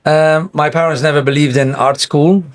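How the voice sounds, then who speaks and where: A Belgian man in his fifties, a painter telling his life story in a relaxed interview, lively and quick to lift his voice when excited. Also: on the high side. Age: 50s. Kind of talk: casual conversational interview.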